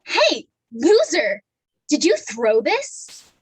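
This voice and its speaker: A woman's voice: high-pitched, wildly swooping pitch, extremely slow, heavily acted. A woman playing a brash, insolent, mouthy teenage girl in a noir comedy stage reading, sassy and confrontational.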